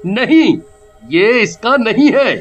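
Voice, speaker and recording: A man voicing Kartik, a young man who sells stolen goods, jumpy and stubborn, crying out slowly when scared, over faint music. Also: very high-pitched, big pitch swings, fairly bright tone, lo-fi sound, full character voice. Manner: fearful exclamations, slow drawn-out cries. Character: Kartik, young male thief-market seller, jittery and stubborn.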